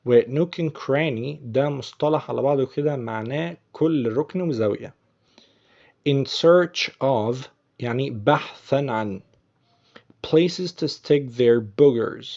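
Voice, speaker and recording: A man with an Egyptian accent teaching English, patiently repeating film lines slowly before explaining them. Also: medium pitch, creaky, darkish tone, muffled recording. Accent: Egyptian Arabic.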